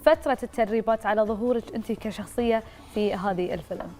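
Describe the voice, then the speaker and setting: A woman hosting an interview, asking quick, lively questions. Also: a little high.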